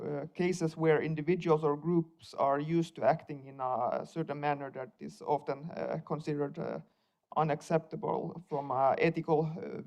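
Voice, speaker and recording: A man's voice: a little high, gravelly, a little mellow. A young man from Finland whose first language is Swedish, giving a conference talk as a researcher, hesitant, with many fillers and repeated words.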